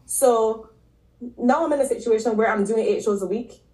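A woman from Barbados, a podcast guest and health coach, telling her story in a relaxed, casual way with frequent ums and likes. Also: a little high, moderate pace. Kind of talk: casual interview talk. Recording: echoey room.

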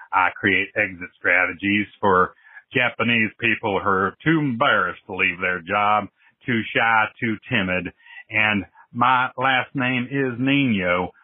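Over a tinny, dry phone line comes a man acting out a swaggering businessman with a Southern American accent. His voice is husky, and he brags in a folksy, repetitive way, as a comic caller would. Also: on the low side, no echo. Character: brash swaggering Japanese businessman raised American, bragging.

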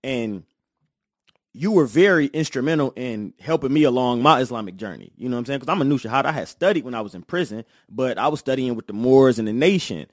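A man's voice: mid-range voice, lively intonation, quick pace, no echo. Dry-sounding man hosting a street-talk podcast. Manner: street slang, emphatic agreement. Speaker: podcast host. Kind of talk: casual studio conversation. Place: studio.

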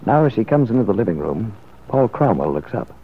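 A man's voice: lowish voice, sing-song, a little fast, husky. A man narrating an old-time radio soap opera in a scripted storytelling style. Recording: old muffled radio recording.

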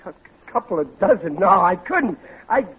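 A man's voice: sits high, big pitch swings, normal speed, breathy edge, full character voice. An actor playing a hapless, nervous working-class husband and father in a 1940s radio comedy, stammering and folksy. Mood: wary, sheepish. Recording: dull, noisy old radio recording.

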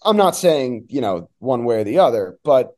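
Guy doing sports analysis like a radio announcer guest, very mellow dark voice, emphatic and opinionated. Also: mid-range voice, very animated, moderate pace.

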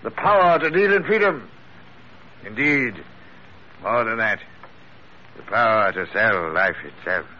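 An actor playing a theatrical, gloating gentleman blackmailer in florid, formal speech, in classic radio drama style. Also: fairly low pitch, animated, a little slow, pauses often. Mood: triumphant, grandiose. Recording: muffled old radio broadcast, faint hiss.

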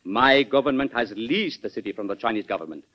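Male actor as stiff, courtly envoy, lively swooping intonation, vintage radio play, dull lo-fi sound. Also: mid-range voice, fairly quick, natural voice. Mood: formal, evasive. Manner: stiff, formal, smoothly evasive. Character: formal, haughty, courteous German ambassador. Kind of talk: old-time radio drama acting. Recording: dull old radio broadcast recording.

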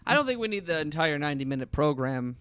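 A man with a fairly high voice chats and jokes as a podcast co-host. His pitch moves around a lot, giving him a very animated delivery. The recording is dry and free of room sound. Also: fast talker, clear, resonant tone, no echo. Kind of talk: casual, joking chat.

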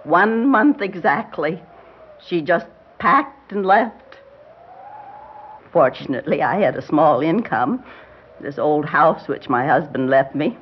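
A woman's voice: low-pitched, expressive, slow, slight background noise. An older woman acting in a classic radio drama as a genteel, defensive grieving grandmother, with a prim, hesitant manner. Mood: prim, reserved. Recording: dull vintage radio recording with music.

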